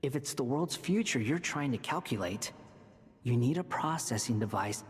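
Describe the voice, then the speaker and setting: An actor playing an arrogant, sardonic young puppet scholar in a video game, with a dry, condescending manner. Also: male voice, mid-range voice, lively intonation, normal speed, big-room sound, natural voice. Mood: explaining coolly.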